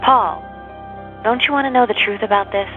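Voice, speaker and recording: A woman's voice: fairly high pitch, normal speed, narrow, tinny audio, faint music behind, close to an everyday voice. An actress playing an earnest, pressing live-in girlfriend in an audio soap opera. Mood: probing. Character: earnest, probing live-in girlfriend, career-minded.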